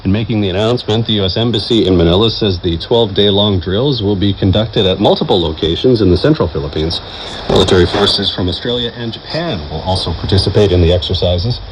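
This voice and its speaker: A man reading a scripted radio news bulletin in a formal, measured manner. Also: on the low side. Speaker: news presenter. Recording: noisy shortwave radio reception. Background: radio static and noise.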